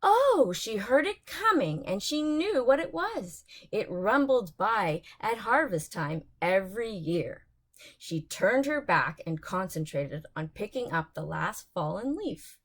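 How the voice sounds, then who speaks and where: A woman's voice: mid-range voice, big pitch swings, slow pace. A woman reading bedtime storybooks aloud to young children, expressively, with dramatic emphasis on key words.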